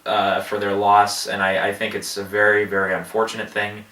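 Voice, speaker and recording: A man with a head cold rambling through movie commentary, halting with many fillers and restarts. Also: on the low side, monotone, sharp tone, distant mic. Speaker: movie box office vlogger. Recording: echoey room, voice off-mic.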